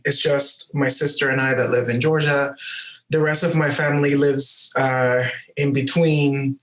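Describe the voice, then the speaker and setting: A man's voice: mid-range voice, fairly bright tone, rough recording. A man from Puerto Rico who runs a restaurant, chatting casually in an interview and slipping in Spanish phrases. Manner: friendly, slips into Spanish phrases. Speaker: guest, restaurant owner.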